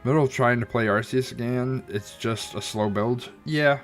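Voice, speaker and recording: A man's voice: mid-range voice, normal speed, soft background music. A man streaming a game and chatting about it, thoughtful and hesitant, with a slightly stuffy, nasal sound. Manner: thoughtful, hesitant, many ums and restarts. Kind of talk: casual gameplay commentary.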